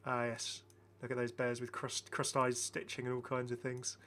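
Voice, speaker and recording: A man's voice: mid-range voice, completely flat delivery, normal speed, a bit bright, slight background noise. A man giving casual, enthusiastic game commentary as a chatty, rambling video host.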